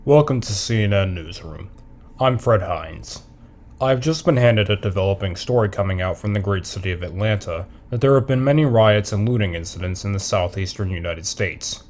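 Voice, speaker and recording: A man playing a serious TV newsroom anchor, reading scripted news in a formal, deliberate way. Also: fairly low pitch, darkish tone, natural voice.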